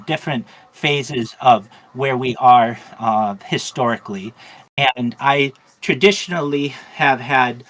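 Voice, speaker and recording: This is a rabbi in an interview, a man of middle pitch who answers earnestly and at a measured pace. He pauses often on uh and um while he gathers his thoughts. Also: fairly bright tone, low-quality recording. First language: English. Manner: earnest, measured, frequent fillers.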